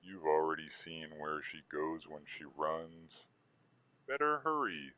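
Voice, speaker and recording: An actor playing a menacing anonymous caller in an audio drama, speaking in ominous, deliberate, cryptic warnings. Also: male voice, very deep voice, sing-song, no room sound, full character voice. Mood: ominous, taunting. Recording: dull lo-fi call sound over music.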